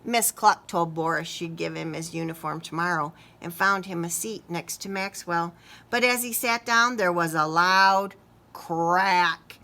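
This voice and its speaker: A woman reading a picture book aloud to young children expressively, pausing to ask them questions. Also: lowish voice, pushed and bright. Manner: talks to young listeners, asking questions. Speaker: children's story reader.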